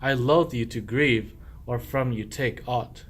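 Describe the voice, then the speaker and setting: A man's voice: mid-range voice, a little slow, fairly bright tone, slight background noise, natural voice. A man acting a sly, henpecked husband and sheep thief in a comic verse radio play, declaiming in rhyme. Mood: groaning, feigned innocence.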